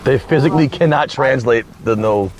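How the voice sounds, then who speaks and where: Gravelly young man griping in a car. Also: mid-range voice, moderate pace, a little hiss or hum. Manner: loud, exasperated, joking, swears a lot. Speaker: streamer. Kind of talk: casual chat. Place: inside a car at a drive-thru.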